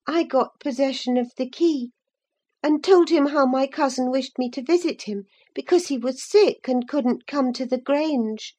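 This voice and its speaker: A woman's voice: high voice, warm tone, pitched above the performer's own voice. A woman voicing an anxious, pleading teenage girl in an audiobook, earnest as she confesses to her nurse. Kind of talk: dramatised audiobook character voice.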